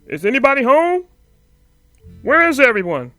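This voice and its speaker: A woman's voice: sits high, sing-song, normal speed, pushed and bright, no echo, faint music behind, heavily acted. A woman voicing an exasperated but caring father bear in a children's storybook, speaking emphatically. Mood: calling out. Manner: emphatic, exclaiming. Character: exasperated father bear, loud and concerned cartoon papa.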